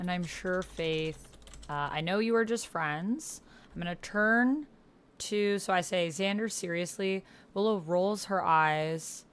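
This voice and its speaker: A woman's voice: fairly low pitch, slow pace, smooth. A woman talking casually through an online text roleplaying game, thinking aloud in fragments and reading out what she types.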